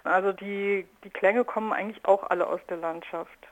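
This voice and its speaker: A woman speaks in an interview as a sound artist. Her pitch is average and her delivery is conversational, explaining how she works. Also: fairly even intonation, brisk, highs cut off. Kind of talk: interview about own work, conversational.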